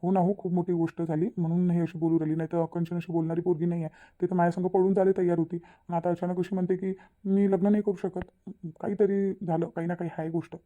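A man acts out a lovesick young village son in a Marathi cartoon, with a rural Maharashtrian accent. He talks extremely fast and broods, repeating himself as he goes. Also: sits high, level tone, narrow, tinny audio, lightly acted. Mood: worried, determined. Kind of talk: cartoon comedy dialogue.

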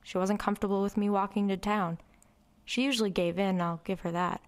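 A woman's voice: medium pitch, steady tone, fairly quick, close to an everyday voice. A woman playing a wry, reflective narrator recalling her troubled girlhood in a confiding first-person dramatic narration.

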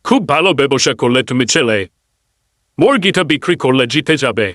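A man's voice: mid-range voice, big pitch swings, brisk, fairly bright tone, dry recording, studio quality. A man voicing a sly, boastful leader of swindlers in a cartoon, bossy and condescending. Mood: sly approval. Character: sly, scornful, boastful male ringleader of swindlers. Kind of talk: cartoon dubbing.

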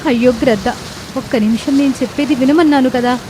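A woman's voice: sits high, fast, natural voice. A woman playing an aggrieved, vengeful wife sparrow in a bird cartoon, melodramatic and pleading, over hissy noise.

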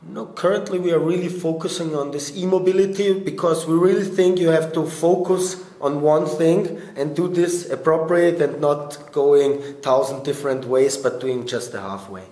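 A man talks in English, a for him, with a slightly raised pitch. His answers are earnest and a little halting, full of fillers. The room around him sounds open and echoey, like a press corner at an event. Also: dark tone. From born in Bosnia, raised in Austria. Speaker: startup business developer, interview guest. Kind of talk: conversational interview answers. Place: event press area in front of a logo backdrop, indoors. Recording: roomy event interview recording.

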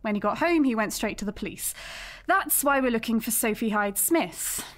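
A brisk woman detective sergeant in an audio drama. Her voice sits a little high. She is measured, firm and matter-of-fact, like someone setting out a case. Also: lively intonation, fairly bright tone. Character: brisk, businesslike female police detective sergeant.

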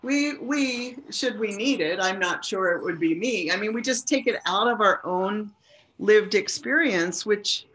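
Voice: medium pitch, expressive, a little slow, fairly bright tone, low-quality recording. A panelist recounting a story in a reflective way and correcting themself mid-sentence. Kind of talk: panel discussion.

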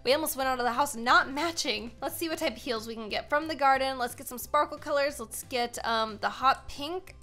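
A young American woman giving casual gaming commentary as host, chatty and expressive, given to exclaiming. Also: high-pitched, a little fast, few pauses, faint music behind. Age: young adult.

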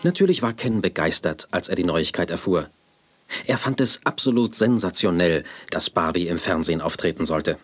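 Deep storyteller for a kids radio play, scripted narration, soft music behind. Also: male voice, animated, normal speed. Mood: calm storytelling. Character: male storyteller of a children's tale. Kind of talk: scripted children's audio drama narration.

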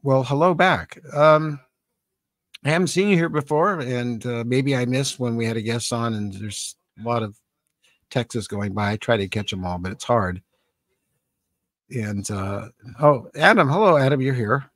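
An American man in his seventies hosting a casual live stream in a rambling, halting, conversational way, close on a studio microphone. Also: medium pitch, fairly bright tone, no room sound. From San Francisco, Northern California, USA. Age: 70s. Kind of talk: casual live-stream chat, reading and answering comments. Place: small cluttered home studio indoors. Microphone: close boom-arm studio microphone with headphones.

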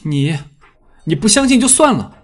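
A man voicing a small, guarded and earnest orphan boy for an audiobook, in a soft but prickly manner. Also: high-pitched, sing-song, normal speed, pitched above the performer's own voice. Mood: hurt defiance. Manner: soft, murmuring, turning sharp when challenged. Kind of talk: audiobook character acting.

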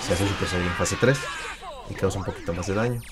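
Young man, low voice, casual gaming chat, explains moves, game music behind. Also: brisk. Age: young adult. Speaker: gaming channel co-host. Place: indoor home recording space. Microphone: lapel mic and headphones.

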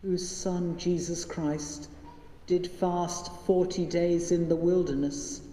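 Older priest in an echoey church. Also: male voice, high-pitched, far from the mic. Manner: gentle, speaking simply to children. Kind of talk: liturgical prayer and children's address. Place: large church. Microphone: lectern mic, far from camera.

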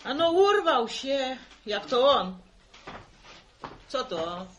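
High-voiced country mother. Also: big pitch swings, extremely slow, a bit bright, a little hiss or hum, full character voice. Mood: inquisitive teasing. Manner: folksy, chatty, prone to exclaim. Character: practical country farm mother, teasing and fretting. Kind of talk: 1960s radio drama acting.

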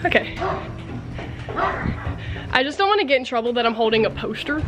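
A woman's voice: fairly high pitch, expressive, a little fast, rough recording. A young woman chatting casually as a vlogger, recorded on a handheld camera while out exploring. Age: young adult. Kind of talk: casual vlog chat. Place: handheld camera on the move in an abandoned school, later outdoors and in a car. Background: music.

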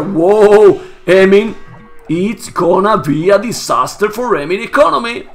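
A hoarse, Spanish-native man casting a live strategy game match, getting louder and repeating himself at big plays. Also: sits high. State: hoarse, losing his voice. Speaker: esports caster. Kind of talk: excited live game commentary.

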